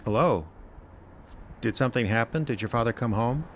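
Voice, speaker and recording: An actor playing a wry, world-weary small-town private investigator in a radio drama, with a dry, conversational delivery. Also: male voice, mid-range voice, expressive, moderate pace, soft background music, lightly acted. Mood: mild, probing. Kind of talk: first-person detective radio drama narration.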